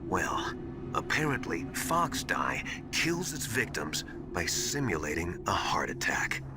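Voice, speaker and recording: Earnest confidant, expressive, swinging pitch. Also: male voice, slow pace, full character voice. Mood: grave, concerned. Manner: hushed, hesitant, confiding. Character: earnest, secretive male military informant confiding a suspicion. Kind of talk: dramatic video game voice acting. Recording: loud music bed under voice.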